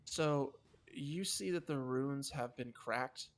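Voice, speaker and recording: A man's voice: mid-range voice, slow pace. A man narrating a tabletop game as game master in a casual, loose, improvised way. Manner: casual, swearing.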